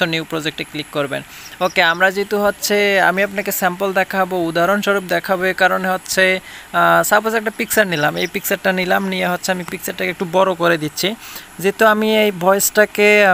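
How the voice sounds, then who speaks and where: A man presents an app tutorial in a fairly high, bright voice. His intonation stays rather even, and he slips English words into his explanations. A faint noise sits behind him. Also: vocal fry. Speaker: tutorial host.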